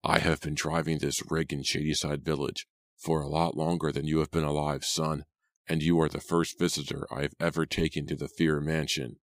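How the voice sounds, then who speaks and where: A man voicing a shriveled, suspicious old cab driver who speaks slowly and quietly. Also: extremely low pitch, little pitch movement, no echo. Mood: wary.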